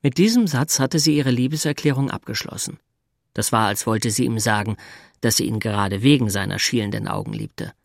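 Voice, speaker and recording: A man's voice: mid-range voice, fairly quick, vocal fry, dry recording, studio quality, lightly acted. An actor playing a plain-spoken, brooding man in love, telling his thoughts in a literary audio drama. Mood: reflective.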